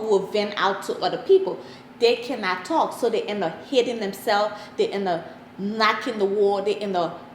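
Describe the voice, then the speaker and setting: Female interview guest, Liberian accent, plain-spoken and emphatic delivery, recorded in a reverberant room with slight background noise. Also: a little high. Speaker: interview guest, parent advocate. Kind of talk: personal interview talk. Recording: roomy echo, faint background noise.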